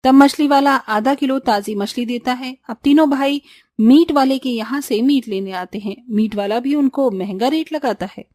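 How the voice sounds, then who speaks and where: A woman's voice: high-pitched, moderate pace, darkish tone. A woman reading scripted narration for a cartoon story.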